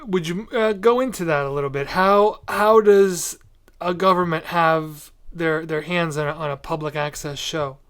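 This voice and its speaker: Man, somewhat high-pitched voice, podcast host, casual conversational interviewing. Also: moderate pace, fairly bright tone, clean tone.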